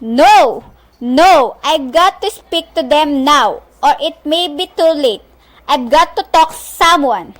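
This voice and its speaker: A young woman playing a frantic, nervous, demanding married woman in an amateur radio play, with halting, stumbling delivery. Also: high voice, expressive. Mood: desperate, demanding. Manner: halting line delivery, stumbling over words.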